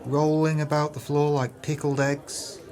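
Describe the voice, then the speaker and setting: An actor playing a sly, callous swindler's sidekick in an audio play, wordy and smoothly flattering. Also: male voice, on the high side, unhurried, faint music behind, lightly acted. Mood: gleefully plotting. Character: sly crooked swindler's accomplice, wheedling and callous.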